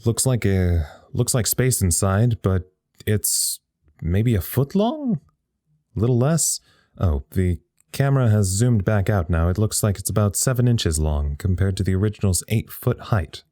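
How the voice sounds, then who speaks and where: A man acts out a wry, tired scientist logging reports from an isolated site in an audio drama. The voice is low and soft-edged, recorded close and dry, with a halting, understated delivery. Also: lively intonation, dry recording. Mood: puzzled, intent. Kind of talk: audio drama acting as a live radio log.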